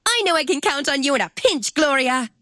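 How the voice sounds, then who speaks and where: A woman's voice: high voice, lively intonation, brisk, pushed and bright. A woman voicing a peppy, friendly young female professor for a video game, with a cheerful, teasing manner. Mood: bright, cheerful. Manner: cheerful, exclaiming.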